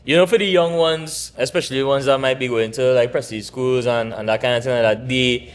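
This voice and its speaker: Trinidadian man, thirties, laid-back interview guest, meandering storyteller, middle-pitched voice. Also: fairly quick, clear, resonant tone. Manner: relaxed, rambling with restarts and laughs. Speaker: barbershop owner, guest. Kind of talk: casual interview chat.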